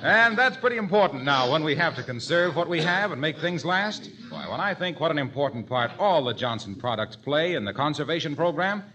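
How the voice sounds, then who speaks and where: A man's voice: on the high side, big pitch swings, a little fast, few pauses, crowd noise. An actor playing a cheery floor-wax salesman in a 1940s radio comedy, with an upbeat announcer's delivery. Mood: cheerful, selling.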